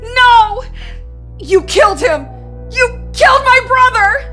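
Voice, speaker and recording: An actress playing a furious, grieving female captive in an audio drama, crying out in shrill anguish. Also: lively intonation, takes it slowly, bright, forceful tone, soft background music, heavily acted. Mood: anguished fury.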